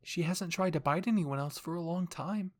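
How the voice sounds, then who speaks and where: A man playing a gentle young female comrade in an anime fan story, questioning things in a soft-hearted way. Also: fairly high pitch, moderate pace, voice pushed up, lightly acted. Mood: concerned.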